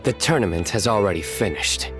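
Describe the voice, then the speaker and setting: A man playing a stern young general in a video game, serious, deadpan and measured. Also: lowish voice, over loud music, natural voice. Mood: calm.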